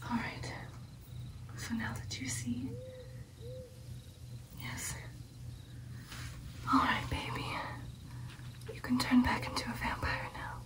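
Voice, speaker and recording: Whispered, breathy, young woman acting as tender powerful female vampire, intimate ASMR roleplay, reassuring, shifting distance from the mic. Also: on the high side, little pitch movement. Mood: tender, grateful. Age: young adult. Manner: soft, intimate, reassuring, deliberate. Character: tender, powerful female vampire girlfriend addressing her coven.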